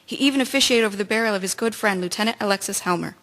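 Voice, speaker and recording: A woman's voice: mid-range voice, racing, fairly bright tone, close to an everyday voice. A woman playing an earnest wartime nursing sister in a radio drama, confiding news to a colleague.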